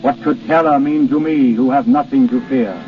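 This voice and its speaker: An actor playing Death as a weary, lonely, courtly visitor, speaking grandly and formally in 1930s radio drama style. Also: male voice, medium pitch, steady tone, moderate pace, faint music behind, natural voice. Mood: weary, yearning. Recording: muffled 1930s radio broadcast recording.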